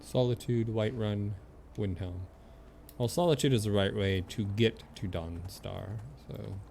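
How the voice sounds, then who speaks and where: A man's voice: lowish voice, a little slow, creaky, warm tone, slight background noise. A man doing casual, playful live gameplay commentary, talking to the characters in the game and swearing now and then. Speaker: game streamer.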